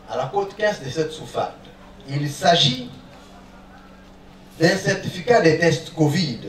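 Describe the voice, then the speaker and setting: A man speaking as a prosecutor in court, formally and deliberately itemizing evidence with emphasis. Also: mid-range voice. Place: large indoor courtroom. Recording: echoey courtroom, distant mic, faint noise.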